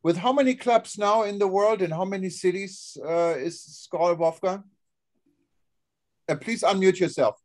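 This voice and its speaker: A man's voice: sits high, a little slow, darkish tone. A man hosting an online meeting, introducing guests hesitantly with many fillers. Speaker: host. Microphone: video call.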